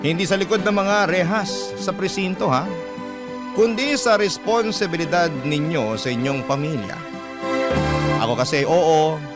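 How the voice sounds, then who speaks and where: A man with a Filipino accent hosting and narrating a radio drama, reading a letter in dramatic, deliberate phrasing. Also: fairly high pitch. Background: background music.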